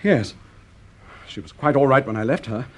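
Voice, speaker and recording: A man's voice: medium pitch, expressive, moderate pace, lightly acted. A man playing an evasive, blustering husband with a guilty secret in vintage radio drama. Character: evasive murderous husband, denying guilt, cracking into panic. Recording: noisy old radio drama recording.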